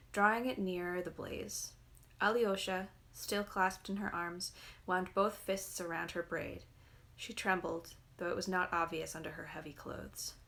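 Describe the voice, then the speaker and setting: Female reader, slightly low voice, measured and expressive narration of a folktale-like novel. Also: gravelly. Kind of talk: literary storybook reading aloud.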